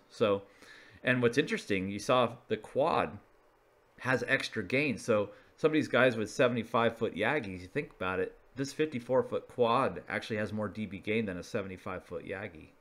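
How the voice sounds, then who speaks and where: Low-voiced man giving a casual explanation. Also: moderate pace, a bit bright. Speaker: video presenter, radio hobbyist. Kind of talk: casual explainer talking through slides.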